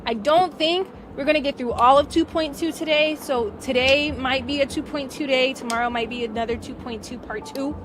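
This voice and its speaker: A woman's voice: high voice, brisk, hardly any pauses, noisy background. A woman live streamer chatting casually and slangily to her viewers.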